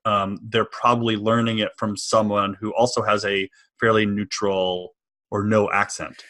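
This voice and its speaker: A man's voice: lowish voice, little pitch movement, normal speed, a bit bright. A man in his forties with a Washington DC area American accent, hosting a casual podcast interview from a home office, agreeable with many short yeses. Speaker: podcast host. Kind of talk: casual podcast interview over video call.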